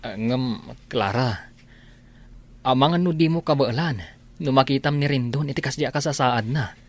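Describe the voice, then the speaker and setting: A man acting in a radio drama as a worried family friend with a halting, hesitant way of talking. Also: mid-range voice, natural voice. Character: hesitant, worried male family friend breaking bad news.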